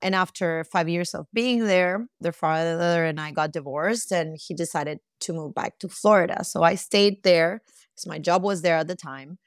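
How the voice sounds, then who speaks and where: A middle-aged woman from Costa Rica speaks as an interview guest in a slightly low register. The sound is dry and close, and she answers in a conversational way. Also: moderate pace, no room sound.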